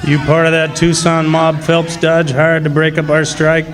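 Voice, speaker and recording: An actor playing a confrontational, suspicious striking copper miner in a radio drama, with terse challenges. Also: male voice, a little high, monotone, normal speed, a faint crowd, lightly acted. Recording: echoey live hall stage.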